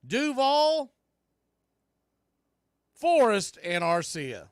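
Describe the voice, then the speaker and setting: A man's voice: very high voice, huge pitch swings, extremely slow, clear, resonant tone, no echo, studio quality. A man announcing baseball play-by-play in clipped, folksy phrases. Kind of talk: live play-by-play commentary.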